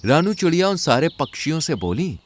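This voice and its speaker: A man's voice: on the high side, big pitch swings, brisk. A man narrating a scripted children's story as its storyteller.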